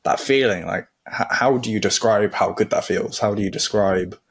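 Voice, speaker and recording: A male podcast host chats with his guest in an easy, encouraging way. His voice sits low, and he talks in long, loose sentences. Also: normal speed, breathy edge. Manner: relaxed, chatty, encouraging. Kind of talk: casual interview chat.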